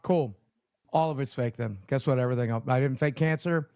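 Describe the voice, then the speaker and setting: A man's voice: mid-range voice, a little fast, a little mellow, clean tone, dry recording, studio quality. A man arguing on a live online show, sarcastic and irritated. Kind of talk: heated live-stream argument.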